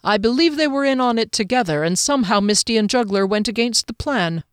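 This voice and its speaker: A woman's voice: a little high, lively intonation, fairly quick, clean tone, dry recording, studio quality, voice pushed up, lightly acted. A woman reading the part of an earnest, defensive male soldier in a fantasy storybook. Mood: grave. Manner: earnest, pleading.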